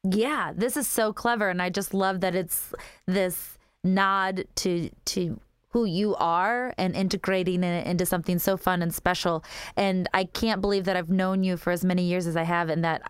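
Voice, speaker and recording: A woman's voice: on the low side, steady tone, moderate pace, clear, resonant tone, no room sound, clean studio sound. A woman hosting a radio show, upbeat and enthusiastic as she interviews a guest.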